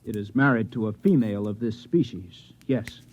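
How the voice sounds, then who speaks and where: An actor playing a distraught, insistent Martian trapped in an Earthman's body, with intense, halting delivery in 1950s radio drama style. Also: lowish voice, darkish tone, close to an everyday voice. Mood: detached, tense. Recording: slightly muffled vintage radio play recording.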